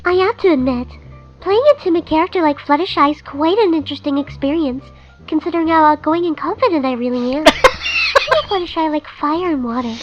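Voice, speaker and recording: A woman's voice: high voice, big pitch swings, a little fast, lo-fi sound, over music. A woman voicing a confident, chatty pony actress giving a scripted mock interview in a comic dub. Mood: wry, confiding.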